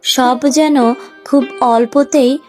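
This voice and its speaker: A woman narrating a scripted cartoon story in an expressive storybook manner. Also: high voice, slow pace, soft background music.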